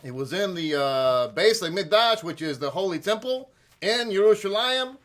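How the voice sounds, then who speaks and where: A performer voicing a friendly, excitable rabbi for children, with hesitant, repetitive speech and exclamations of wonder. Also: mid-range voice, normal speed, natural voice. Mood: friendly, explaining. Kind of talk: children's question-and-answer skit.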